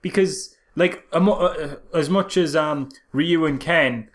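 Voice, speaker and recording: A man's voice: fairly high pitch, measured pace. Sarcastic man chatting about films. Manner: rambling, sarcastic, acts out mock quotes. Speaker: podcast co-host reviewing films. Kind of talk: casual comedic film discussion.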